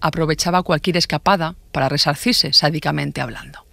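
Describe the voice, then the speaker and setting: A man narrating a scripted true-crime podcast in a measured, formal storytelling manner. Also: fairly high pitch, steady tone, creaky, pushed and bright, dry recording.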